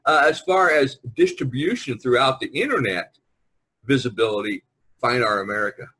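A man hosting a podcast in a casual, rambling, easygoing group chat with a husky voice. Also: mid-range voice, normal speed, bright, forceful tone, low-quality recording. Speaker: podcast host. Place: online video conference.

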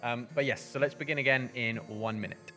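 A man in his thirties giving a measured, expressive live dramatic reading as a storyteller. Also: mid-range voice, faint music behind. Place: indoor book-lined venue before a small live audience.

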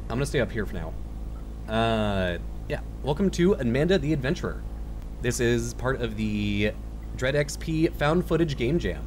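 Animated man doing gaming commentary. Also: medium pitch, normal speed, slight background noise. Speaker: gaming video host. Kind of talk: casual let's play commentary. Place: indoor room at home.

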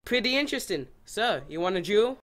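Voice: medium pitch, animated, brisk, fairly bright tone, lightly acted. An actor voicing a scheming, gloating small schoolboy villain in a cartoon parody dub, theatrical and plotting aloud. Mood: fake friendly.

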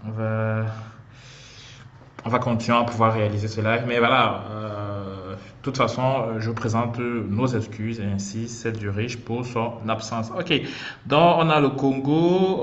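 A young man from Cameroon, hosting a casual livestream and talking in a halting, chatty way as he calls out to viewers over a headset. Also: medium pitch, steady tone, a bit bright. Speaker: host of a student livestream. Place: small indoor room. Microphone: headset on a video call. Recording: muffled video-call audio, faint hiss.

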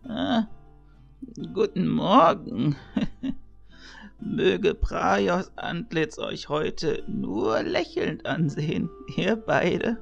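Kindly elderly priest for fantasy audio play: cheerful, unhurried, gravelly, slightly dull sound. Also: male voice, sits high, sing-song, faint music behind, exaggerated voice. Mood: cheerful, fond. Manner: jovial, chatty, drawn-out musing. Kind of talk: audio drama acting.

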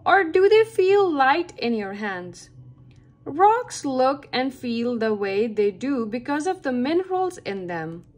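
High-pitched woman reading slowly to kids. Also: big pitch swings. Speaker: read-aloud reader for children. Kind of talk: slow read-aloud of a children's science book.

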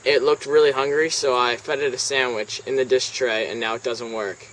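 Hesitant deadpan teenage guy, noisy outdoors. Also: mid-range voice. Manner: hesitant, halting, straight-faced. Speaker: prank caller. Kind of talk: deadpan prank phone call. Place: outdoors on a house's back deck. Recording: noisy outdoor camera audio, insects, traffic.

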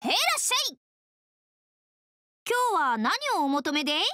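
A woman voicing a brash, tomboyish young game character, hearty but easily flustered, who talks in rough, blunt slang. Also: high-pitched, sing-song, moderate pace, sharp tone, no room sound, clean studio sound, full character voice. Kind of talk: video game character voice lines.

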